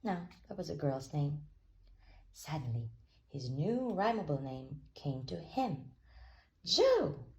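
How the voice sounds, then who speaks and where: A woman reading a children's storybook aloud as an expressive storyteller. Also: sits low, unhurried.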